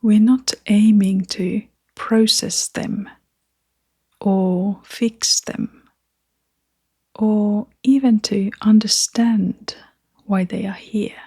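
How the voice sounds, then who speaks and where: A woman guiding a meditation in a soft, half-whispered voice, gentle and soothing, leaving long pauses between phrases. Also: mid-range voice, steady tone, slightly rough. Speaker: meditation guide. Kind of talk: guided meditation narration.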